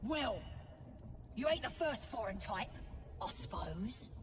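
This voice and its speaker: Slow, brash British goblin guard. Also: female voice, fairly high pitch, lively intonation, far from the mic, over music. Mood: mocking. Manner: gruff, mocking, slangy. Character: brash, crude female goblin camp guard. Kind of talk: video game acting.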